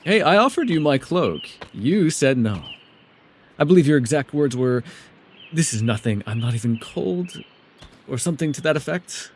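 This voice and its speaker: A man playing a mysterious, soft-spoken elf with a gently teasing, secretive way, in an immersive ASMR roleplay with hesitant, tender asides. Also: fairly high pitch, very animated, moderate pace, slight background noise. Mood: playful teasing.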